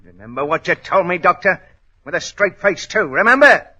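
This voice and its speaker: A man's voice: high-pitched, expressive, moderate pace, pushed and bright, husky, heavily acted. An actor playing a desperate, vengeful gunman in 1940s radio style, menacing, bitter and agitated, on a muffled old recording. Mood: bitter, accusing.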